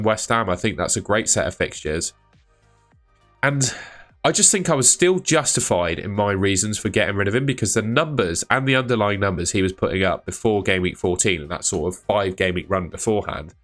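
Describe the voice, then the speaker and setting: Guy with a cold, lowish voice, rambling casual chat, faint music under it. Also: a bit bright. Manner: chatty, thinking aloud with fillers. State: has a cold. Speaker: fantasy football channel host. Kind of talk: casual solo video commentary.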